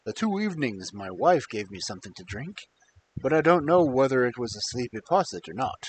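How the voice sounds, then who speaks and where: A man's voice: mid-range voice, big pitch swings, brisk, a little hiss or hum, voice pushed down, close to an everyday voice, poor audio. Man playing a troubled, courteous fairy-tale prince. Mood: puzzled. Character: bewildered enchanted bear prince, courteous, troubled.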